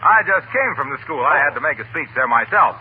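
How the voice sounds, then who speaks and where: Lively high-voiced radio announcer. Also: male voice, fairly quick. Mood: cheerful. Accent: American. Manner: polished, hearty, chatty. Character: cheerful, salesmanlike announcer dropping by. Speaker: commercial announcer. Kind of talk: 1940s radio sponsor pitch in comedy. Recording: noisy, tinny old radio recording.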